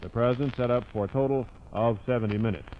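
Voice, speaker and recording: A man with an American accent delivers a scripted radio news bulletin in 1950s broadcast style. His voice sits at an ordinary male pitch. The old recording is muffled and carries faint background noise. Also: fast talker. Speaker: radio newscaster.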